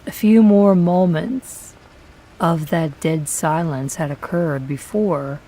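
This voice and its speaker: A woman giving a slow, somber first-person horror story narration. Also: on the low side, mellow, poor audio.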